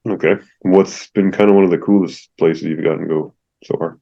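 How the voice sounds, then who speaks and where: A man's voice: sits low, fairly even intonation, a little fast, vocal fry. A man hosting an interview podcast, friendly and affirming, with many fillers. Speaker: podcast host. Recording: slightly dull lo-fi audio.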